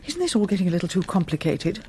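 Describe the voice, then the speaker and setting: An actress playing an inquisitive, opinionated middle-aged friend of a detective in a radio drama, with a brisk, wry English manner and accent. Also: female voice, on the low side, sing-song, dark tone, a little hiss or hum.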